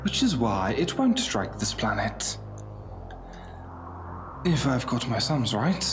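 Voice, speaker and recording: Audio drama lead: male actor as wry, introspective time-travelling hero, unhurried, expressive swings in pitch. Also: background noise. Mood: wry bravado. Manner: musing, wry, talking to himself. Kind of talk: fan audio drama acting.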